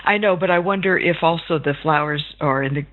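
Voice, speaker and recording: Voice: mid-range voice, fairly quick. An interviewer chatting casually and affirming the guest with short interjections. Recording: tinny phone-line audio.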